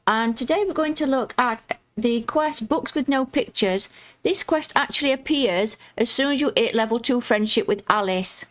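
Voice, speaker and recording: A woman with a croaky voice casually walking viewers through a video game quest, chatty and explaining each step. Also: fairly high pitch, moderate pace. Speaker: gaming YouTuber.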